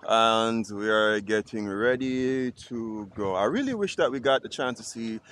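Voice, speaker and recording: A man's voice: medium pitch, unhurried, a little hiss or hum. A young Jamaican man with a Jamaican accent, chatting casually to a handheld camera outdoors by a lake. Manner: relaxed, chatty, thinking aloud with fillers. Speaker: travel vlogger. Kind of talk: casual travel vlog to camera. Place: outdoors on a lake island and boat. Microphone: action camera at arm's length.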